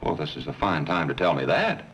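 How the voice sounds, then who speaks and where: An actor playing an impulsive husband buying a car in a vintage training film. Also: male voice, very deep voice, big pitch swings, fairly quick, a bit bright, recorded from a distance, slight background noise, exaggerated voice. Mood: puzzled. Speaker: customer. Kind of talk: 1950s training film acting.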